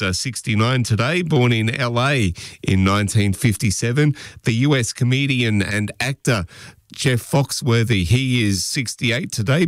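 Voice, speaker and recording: A man's voice: mid-range voice, dry recording. Australian man presenting radio, dry studio sound. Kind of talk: casual radio presenting, reading birthdays. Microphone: close studio radio microphone.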